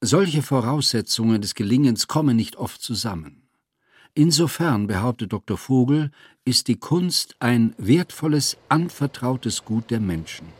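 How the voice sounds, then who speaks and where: A man with a husky voice reading scripted literary prose as a narrator. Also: mid-range voice, lively intonation, measured pace, no room sound, studio quality.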